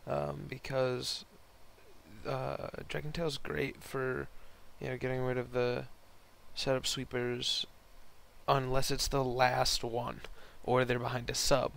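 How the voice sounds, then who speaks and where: A young man with a hoarse, worn voice giving casual, rambling, slangy commentary on an online game battle. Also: mid-range voice, flat delivery, slow, a bit bright, a little hiss or hum. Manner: rambling, slangy, laid-back. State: hoarse, voice partly lost from concert the night before.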